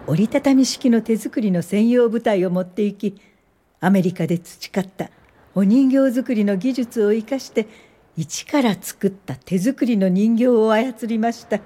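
Actress doing an older lady telling her life story, soft mellow voice, gentle n measured, radio drama. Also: mid-range voice, close to an everyday voice. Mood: reflective. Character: older woman social worker recalling her life, gentle. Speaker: narrator and lead character. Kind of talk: radio drama first-person narration.

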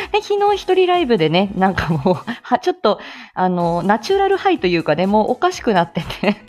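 A woman's voice: mid-range voice, very animated, brisk, faint music behind. A woman hosting a casual live audio stream, chatting to listeners in a giggly, playful way. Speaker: speech-language therapist.

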